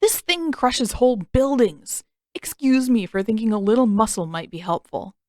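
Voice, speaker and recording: A woman's voice: fairly high pitch, normal speed, darkish tone, no room sound, clean studio sound, voice pushed up, natural voice. A woman voicing a sardonic teenage boy warrior in an audiobook, defensive and easily indignant.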